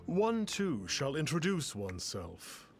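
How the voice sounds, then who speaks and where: Game villager-facing sage, self-important and archaic, unhurried with sweeping intonation, music behind. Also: male voice, a little high, huge pitch swings, full character voice. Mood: grandiose. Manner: pompous, archaic, calls himself "one". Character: pompous self-styled immortal sage, lofty and theatrical. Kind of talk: anime game voice acting.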